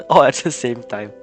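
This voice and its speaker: Male game streamer: mid-range, chatty, giggly, exclamatory reactions over faint game music. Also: mid-range voice. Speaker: game livestreamer. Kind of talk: casual livestream chat and reactions.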